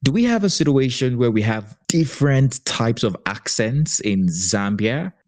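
A man hosting a podcast interview, deliberate and curious in a conversational style. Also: mid-range voice, big pitch swings, a little mellow.

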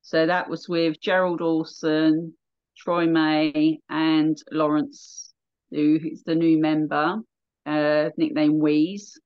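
A woman's voice: deep voice, lo-fi sound. A woman with a southern English accent, a radio presenter chatting casually in an interview, with lots of fillers. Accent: English, southern England. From England. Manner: chatty, many fillers, laughs readily.

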